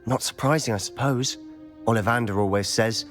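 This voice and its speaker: An actor playing a confident, secretive teenage wizard schoolboy in a video game, speaking in a conspiratorial, self-assured way. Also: male voice, mid-range voice, normal speed, a bit bright, faint music behind, close to an everyday voice. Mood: confiding. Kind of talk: scripted game dialogue.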